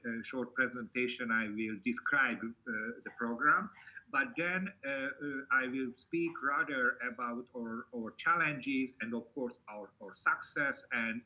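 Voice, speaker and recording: Hungarian man presenting a seminar, bright forceful voice, hesitant fillers, dull lo-fi call audio. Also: medium pitch, a little slow. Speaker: guest speaker, lecturer. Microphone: video call.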